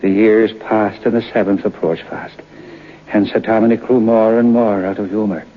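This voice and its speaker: An actor playing a knowing folk-tale storyteller, narrating an old-time radio drama in an unhurried way. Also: male voice, lowish voice, fairly even intonation, close to an everyday voice. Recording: muffled, hissy old radio broadcast.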